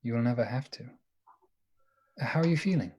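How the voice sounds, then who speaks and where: A man acting in a radio play as an earnest, anxious doctor devoted to the woman he loves, with a halting, emotionally open delivery. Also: mid-range voice, animated, darkish tone, husky, dull audio. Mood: tender, reassuring.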